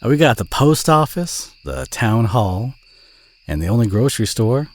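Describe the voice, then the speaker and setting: A man playing a friendly, modest country boy with a Southern US accent, chatty and gently teasing in an intimate audio roleplay. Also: medium pitch, expressive, normal speed. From southern United States.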